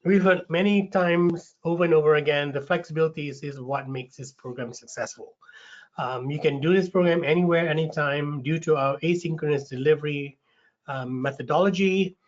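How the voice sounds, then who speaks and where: A man with a slight rasp from a cold hosts an online info session, friendly, with lots of ums and restarts. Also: on the high side, moderate pace. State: getting over a cold, a bit raspy. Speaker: webinar host, recruitment manager. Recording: dull lo-fi webinar audio.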